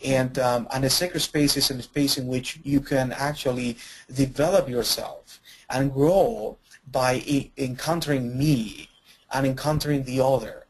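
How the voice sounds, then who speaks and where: Male webinar presenter, somewhat husky, halting and full of restarts. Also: medium pitch, steady tone, low-quality recording. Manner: halting, many fillers and restarts. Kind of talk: webinar talk.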